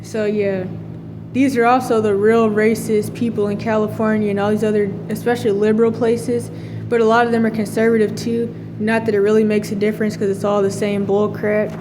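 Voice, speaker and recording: A woman speaks at a middle pitch in a loose, rambling monologue, full of fillers and restarts. Clear vehicle noise runs under her voice throughout. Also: little pitch movement, fairly quick. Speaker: vlogger. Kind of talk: casual monologue.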